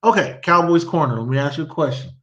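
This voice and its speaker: A middle-aged man hosting a casual sports talk show, telling stories and quizzing his guest in an animated way. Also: a little high, fast, muffled recording. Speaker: podcast host.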